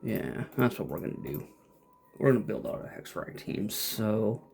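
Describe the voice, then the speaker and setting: Low, gravelly man with a lively delivery. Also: moderate pace, a little hiss or hum. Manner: muttering, thinking aloud to himself. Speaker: gamer playing and commenting. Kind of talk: casual off-the-cuff gameplay commentary.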